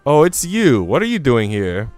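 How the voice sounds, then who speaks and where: A man's voice: mid-range voice, big pitch swings, moderate pace, darkish tone, no room sound, soft background music, natural voice. A man voicing a haughty, snappish young blonde girl in a visual novel. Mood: haughty, annoyed. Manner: imperious, scolding. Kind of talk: visual novel character reading.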